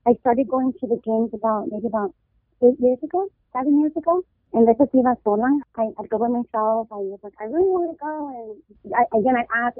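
A woman's voice: a little high, no echo. A woman from Southern California, born in Mexico, telling personal stories casually as a podcast guest, mixing in some Spanish. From born in Mexico, raised in Southern California. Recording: phone-like, narrow-band call audio.

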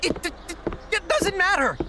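An actor playing a naive, anxious young boyfriend in an audio drama, stammering and apologetic. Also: male voice, extremely high pitch, expressive, normal speed, heavy background noise.

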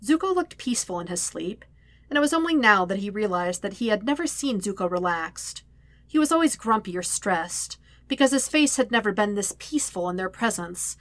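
Animated woman narrating a story. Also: mid-range voice, no room sound. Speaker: podfic narrator. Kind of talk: expressive scripted story narration.

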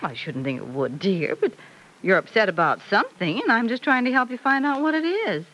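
An actress playing a patient, affectionate middle-aged mother in a classic radio soap, gently probing and coaxing with concern. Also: a little high, expressive, brisk, poor audio. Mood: patient, reassuring. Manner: coaxing, questioning, tender endearments. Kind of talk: mid-century radio soap acting.

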